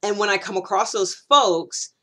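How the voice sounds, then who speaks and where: A woman's voice: medium pitch, normal speed, a bit bright. A woman giving a casual, explanatory monologue as a video host, conversational and often rephrasing or repeating herself.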